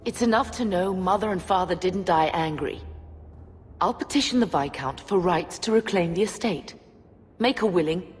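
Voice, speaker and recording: A woman's voice: on the low side, expressive, normal speed, slight background noise, close to an everyday voice. A woman playing a proud, wronged middle-aged noble mother in a video game, dignified and determined. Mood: resolute. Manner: indignant, dignified, emphatic. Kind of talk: dramatic video game acting. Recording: long reverb with background music.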